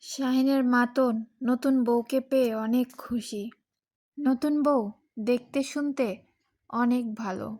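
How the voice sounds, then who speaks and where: A woman's voice: high-pitched, fairly even intonation, measured pace, long pauses, a little mellow. A woman giving scripted storytelling narration for a cartoon tale.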